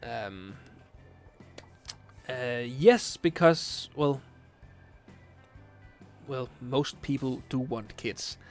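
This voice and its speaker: A man's voice: mid-range voice, lively intonation, extremely slow, long pauses, darkish tone, faint music behind. A man in his late twenties with a Danish accent, streaming a game late at night and chatting through viewer questions, hesitant with many fillers as he searches for English words. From Denmark. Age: 20s. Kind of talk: casual live stream chat, reading chat questions.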